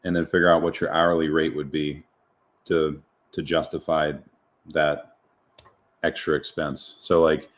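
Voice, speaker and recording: A man co-hosting a live stream, calmly explaining things step by step. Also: very deep voice, measured pace, pauses often. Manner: calm, explanatory, accountant-like reasoning. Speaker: co-host of a live product demo. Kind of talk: casual live-stream chat.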